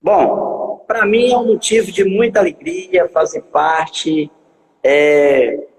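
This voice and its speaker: Man, 40s, gravelly voice, slightly high, halting with fillers, live-stream guest, long hall reverb. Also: steady tone. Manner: halting, with many filler pauses and repetitions. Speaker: guest pastor. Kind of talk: telling his life story in a live stream.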